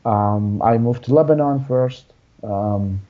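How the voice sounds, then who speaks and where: A young man from Iraq, an interview guest telling his life story in a halting way with many restarts. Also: mid-range voice, phone-quality sound.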